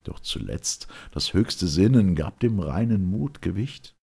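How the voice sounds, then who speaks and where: A solemn ancient chorus spoken by one man declaims verse in a measured, mourning and admiring way. The voice is low and husky and takes its time. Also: expressive, darkish tone, exaggerated voice. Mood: mournful.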